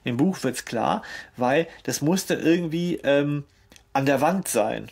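A man's voice: fairly high pitch, lively intonation, takes it slowly, creaky, a little mellow, husky. A man co-hosting a casual podcast discussion, explaining things in a colloquial way. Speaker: podcast co-host.

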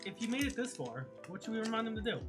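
A young adult man with a high tenor voice, co-hosting with casual, joking banter and playful quips in a small room. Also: very animated, rapid-fire. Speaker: co-host. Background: background music.

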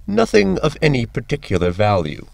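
Creaky, pedantic crewmate played by a man. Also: mid-range voice, huge pitch swings, normal speed, background noise, full character voice. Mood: deadpan. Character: pedantic, practical male computer-man crewmate. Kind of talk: science fiction comedy audio drama acting.

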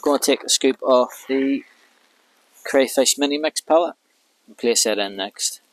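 A man in his thirties, a fishing vlogger, calmly explaining each step of his rig while working outdoors by a lake with a clip-on mic. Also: medium pitch, moderate pace, no room sound, low-quality recording. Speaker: carp angler vlogger. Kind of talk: casual how-to commentary.

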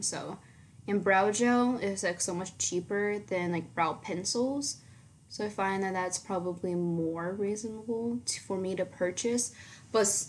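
A teenage girl chatting casually through her makeup routine in a small bedroom, rambling with lots of ums and likes, her voice fairly low for her age. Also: slight background noise. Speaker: beauty vlogger. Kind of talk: casual makeup tutorial chat.

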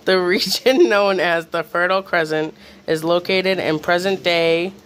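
High-pitched casual quiz reader, faint background noise. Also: measured pace, a bit bright. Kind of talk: casual quiz, reading questions aloud. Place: small room in a home, off camera.

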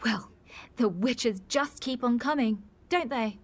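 A woman's voice: fairly high pitch, slow. An actress playing a stubborn, indignant woman in a radio soap, blunt and sardonic. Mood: bitter. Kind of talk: radio soap opera acting.

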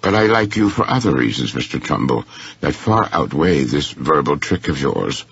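A man voicing a courteous, shrewd, unflappable gentleman in a story, speaking formally and with deliberate precision. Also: a bass voice, big pitch swings, gravelly, slightly rough, highs cut off, heavily acted. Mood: gently pointed.

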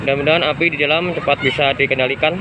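A man holds his phone up outdoors at night and reports the scene to his viewers. He talks urgently and keeps repeating himself. His voice sits a little high, and the phone picks up loud street noise around him. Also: steady tone, racing, lo-fi sound. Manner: urgent, repetitive, addresses viewers as friends. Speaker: citizen reporter vlogger. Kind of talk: on-the-spot phone video report. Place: outdoors at night by a mall fire, busy street. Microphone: phone camera held by the filmer.

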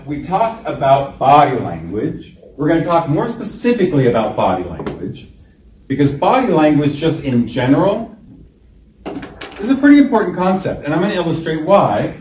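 Trainer in an echoey room, heard from afar. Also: male voice, fairly high pitch, a little mellow, slight background noise. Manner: upbeat, calls on trainees by name, slows down to stress points. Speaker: presentation skills trainer. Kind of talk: classroom workshop lecture. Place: large training room indoors. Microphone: room camera from a distance. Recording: echoey room, distant mic.

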